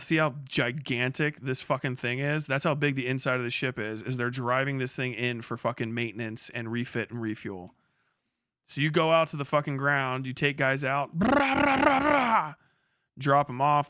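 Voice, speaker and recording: Bright-voiced man, profane casual commentator. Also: medium pitch, clear, resonant tone, no room sound, clean studio sound. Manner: profane, slangy. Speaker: livestream host, military veteran. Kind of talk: casual off-the-cuff reaction commentary on military footage.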